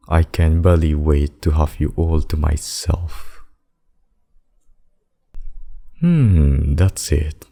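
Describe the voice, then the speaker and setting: A man voicing a possessive demon king and lover in a scripted ASMR roleplay, speaking deliberately and intimately. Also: rumbling low, creaky, dark tone, full character voice. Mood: smug, possessive. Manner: slow, deliberate, intimate addresses with pet names. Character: possessive demon king, menacing abductor turned tender secret lover.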